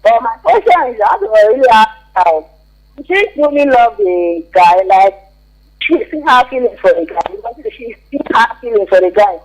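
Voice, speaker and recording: A Nigerian man speaks over the telephone to a radio show. His voice is notably high for a man. He gives his opinion informally, hesitating and repeating himself as he goes. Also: sing-song, moderate pace, low-quality recording. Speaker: phone-in caller. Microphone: telephone call to a radio show.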